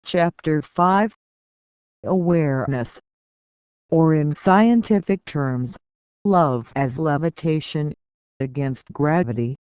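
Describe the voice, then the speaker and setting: An audiobook reader giving a scripted narration, reading in a measured, deliberate way with pauses between phrases. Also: mid-range voice, darkish tone, clean tone, no echo, dull audio.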